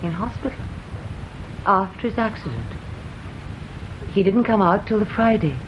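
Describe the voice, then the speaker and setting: An actress playing a terse, unsentimental widow in a radio drama, answering curtly and matter-of-factly. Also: female voice, medium pitch, animated, normal speed, natural voice. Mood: cool, curt. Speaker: witness. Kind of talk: BBC radio drama acting. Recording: dull, slightly noisy radio recording.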